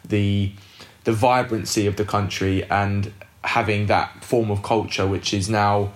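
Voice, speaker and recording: Male podcast host, deep voice, careful long questions, echoey room. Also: level tone, a little slow. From United Kingdom. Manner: attentive, frequent "mm" backchannels, long framed questions. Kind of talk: interview questions.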